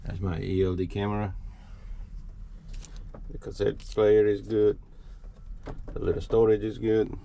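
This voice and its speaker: A man's voice: fairly low pitch, little pitch movement, unhurried, long pauses, mellow, lo-fi sound. A man talking viewers casually through a hands-on repair job as he works, in an informal vlogger style. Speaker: trucker vlogger. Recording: clear background noise, clicks.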